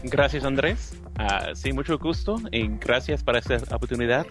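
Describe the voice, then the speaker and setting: A man's voice: mid-range voice, animated, over music. A man whose first language is English, speaking hesitant Spanish with a Texan accent as an interview guest. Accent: American, Texan Spanish. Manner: halting, searching for words. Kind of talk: casual interview.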